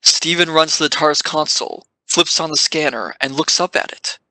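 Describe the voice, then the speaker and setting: A man's voice: a little high, moderate pace, bright, forceful tone, dry recording, poor audio. A man reading scripted stage narration for an audio drama.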